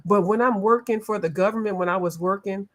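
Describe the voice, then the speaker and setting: A woman's voice: mid-range voice, brisk. A woman from the eastern United States chatting casually with live viewers while she colors, reading out their comments and laughing often. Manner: laughs often, playful, drifts between accents. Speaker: coloring livestream host.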